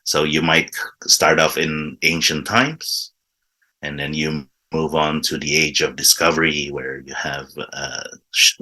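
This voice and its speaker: A man's voice: rumbling low, sharp tone. A man from Indonesia, a guest and improv teacher, explaining his format in a casual interview with frequent restarts. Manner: enthusiastic, restarts words often, explanatory.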